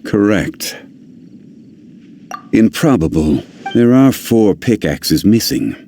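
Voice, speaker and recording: An actor playing a calm, learned gentleman investigator in a video game, speaking formally and deliberately. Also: fairly low pitch, slight background noise, exaggerated voice. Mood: measured, analytical. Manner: measured, formal, deliberate, analytical.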